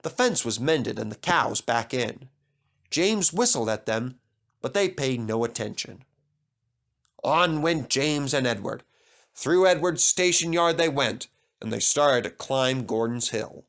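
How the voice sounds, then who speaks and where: A man narrates a children's story with very animated intonation. He reads from a script as a storyteller, his pitch moving widely through each sentence. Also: measured pace.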